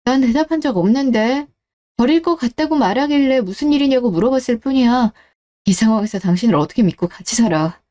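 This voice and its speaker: Radio drama role: woman as a stern, reproachful wife, cool-headed and unforgiving, slightly high pitch, very mellow timbre. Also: animated, a little fast, lightly acted. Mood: cold, reproachful. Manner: cold, interrogating, reproachful. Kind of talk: radio-drama story dialogue.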